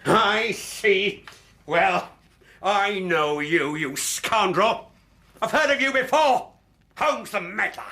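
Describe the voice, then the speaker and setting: Big scary old villain doctor, rage-choked bluster, slow n sharp, radio play. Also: male voice, high-pitched, expressive, full character voice. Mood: menacing, contemptuous. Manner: blustering, rage-strangled bellowing. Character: huge menacing ageing villain doctor, explosive bully. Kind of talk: radio drama acting.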